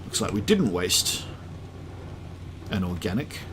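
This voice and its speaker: A man's voice: low voice, big pitch swings, slow, background noise. A man in his late thirties from South Africa, with an accent that mixes South African, Australian and American. He chats casually and rambles while streaming a game, thinking aloud with many ums. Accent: mixed South African, Australian and American. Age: late 30s. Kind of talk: live-stream gameplay chat.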